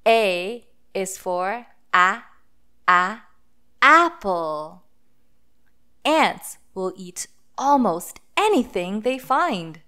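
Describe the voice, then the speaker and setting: Slow-paced woman reading to children. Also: mid-range voice, sing-song, pushed and bright, clean tone. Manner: deliberate, sounding out letters and words for children. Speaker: host of children's read-aloud phonics lesson. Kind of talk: children's picture book read-aloud with phonics teaching.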